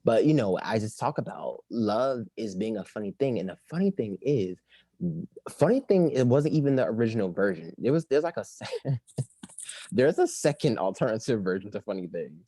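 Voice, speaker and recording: Male artist, a touch raspy, chatty and loose, dry recording. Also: lowish voice, moderate pace, no echo. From Maryland. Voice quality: a little raspy. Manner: rambling, animated, breaks into song. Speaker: musician guest. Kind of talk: casual interview chat.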